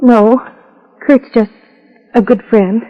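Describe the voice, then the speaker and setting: A woman's voice: fairly high pitch, steady tone, slow, big-room sound. An actress playing an earnest, devoted young woman who pleads for her friend in a 1950s radio melodrama. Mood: urgent. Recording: tinny old radio, music underneath.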